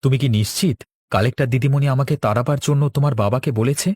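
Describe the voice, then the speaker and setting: Man playing a husky, earnest young villager. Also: medium pitch, fast talker, darkish tone, no room sound, studio quality, lightly acted. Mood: worried, determined. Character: earnest young village man, dutiful son and hopeful farmer. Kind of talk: Bengali cartoon dialogue.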